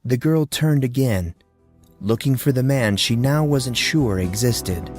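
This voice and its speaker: A man's voice: mid-range voice, lively intonation, unhurried, music in the background. A man narrating a fantasy story dramatically, in short, suspenseful phrases.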